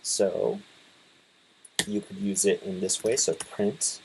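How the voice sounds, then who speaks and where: A man in his twenties casually talking through a coding tutorial, relaxed, with lots of fillers and restarts. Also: sits low, slow, a little hiss or hum, rough recording. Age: 20s. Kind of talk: casual screen-share tutorial.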